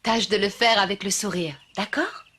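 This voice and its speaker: A woman playing a kind but firm schoolmistress in a French-dubbed cartoon, speaking in a measured, instructive way. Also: medium pitch, bright, forceful tone, slightly rough. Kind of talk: French animated series dubbing. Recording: faint music behind the voice.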